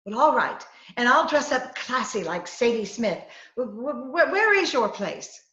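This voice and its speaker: A woman's voice: fairly high pitch, a little slow, full character voice. A woman acting a plucky, headstrong, scatterbrained lady reporter in a 1940s-style radio comedy, bossy and theatrical. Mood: excited, scheming. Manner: bossy, excitable, theatrical delivery. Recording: roomy, muffled, faintly noisy video-call recording.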